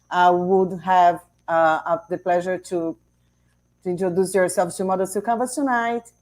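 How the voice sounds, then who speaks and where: A woman's voice: on the low side, a little mellow. A Brazilian woman with a Portuguese accent, a trainer introducing herself on a video call and often repeating words as she searches for them.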